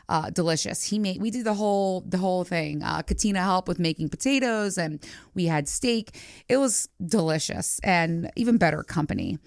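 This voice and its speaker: A woman with a slightly low voice talks like a podcast host catching up with friends. Her delivery is chatty and candid, acting out little reactions as she tells stories. The sound is close and dry. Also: creaky, smooth, no echo. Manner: animated storytelling, acting out quoted reactions. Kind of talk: casual chatty solo podcast talk.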